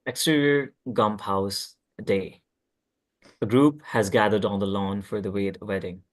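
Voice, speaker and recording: Casting: male stage-direction reader, fairly low voice, plain scripted narration, a little stumbling. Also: fairly even intonation, a little slow. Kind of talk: scripted narration at a table read.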